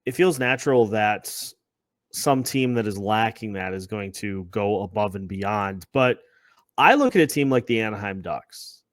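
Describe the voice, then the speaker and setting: A man's voice: fairly low pitch, animated, measured pace, dry recording, clean studio sound. A man hosting a sports podcast in a conversational way, repeating phrases as he builds his points. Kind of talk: conversational sports discussion with scripted-sounding intro.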